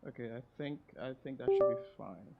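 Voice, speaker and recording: A man's voice: medium pitch, fairly quick, creaky, narrow, tinny audio, over loud music. A man giving casual live gameplay commentary as a streamer. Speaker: game streamer.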